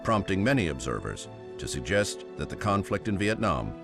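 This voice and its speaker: A man playing a grave, formal war documentary narrator in a game, speaking in measured scripted lines. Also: deep voice, sing-song, creaky, exaggerated voice. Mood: solemn, measured. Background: music.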